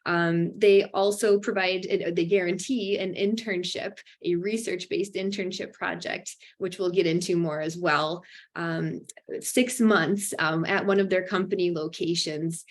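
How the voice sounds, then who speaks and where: A woman hosting an online information session, talking in an upbeat way with many fillers and bright emphatic rises. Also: on the low side, steady tone, unhurried. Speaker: webinar host for a graduate program.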